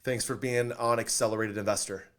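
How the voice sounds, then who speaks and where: A man's voice: fairly low pitch, fairly even intonation, brisk. A man hosting a podcast in an upbeat interview style, enthusiastic and affirming as he talks. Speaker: podcast host.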